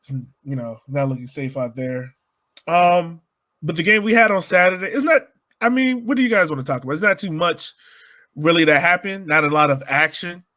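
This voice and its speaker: A man's voice: a little high, normal speed, sharp tone. A man hosting a sports podcast in a casual, conversational style.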